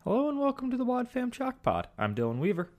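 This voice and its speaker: A man's voice: very high voice, wildly swooping pitch, fast talker, loud music behind the voice. A young man co-hosting a casual podcast, chatty and joking. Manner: chatty, with frequent ums and jokes. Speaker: podcast co-host.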